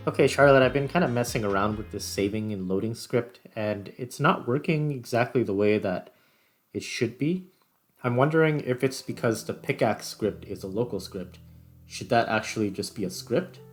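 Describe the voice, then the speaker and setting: A man's voice: medium pitch, normal speed. A man casually hosting a game development video, thinking aloud in an informal way. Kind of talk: casual screen-recorded tutorial commentary. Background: background music.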